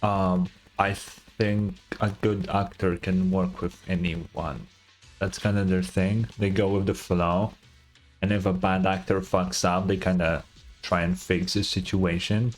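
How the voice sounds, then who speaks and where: A man's voice: sits low, flat delivery, unhurried. A man giving casual, hesitant live reaction commentary on a game, often repeating his words. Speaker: gaming streamer. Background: video game music.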